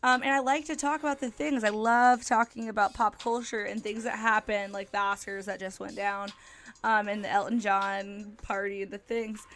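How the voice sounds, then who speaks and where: A woman's voice: medium pitch, steady tone, moderate pace, a bit bright. A young woman hosting her own podcast, talking casually and upbeat, with frequent ums and likes. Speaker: podcast host. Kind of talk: casual solo podcast chat.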